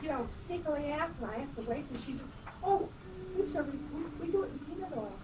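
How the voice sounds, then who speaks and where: A woman with a high, childlike voice chats away, asking one curious question after another and filling the gaps with little interjections. The mic sits some distance from her, and steady background noise runs under everything. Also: fast talker. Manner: chatty, curious, lots of interjections. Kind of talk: casual chat.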